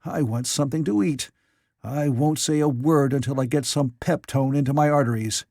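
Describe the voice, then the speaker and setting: A man voicing a weary, hungry gentleman in an audiobook, with a curt, dry manner. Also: medium pitch, normal speed, no room sound, lightly acted. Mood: curt, impatient. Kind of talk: audiobook character voice.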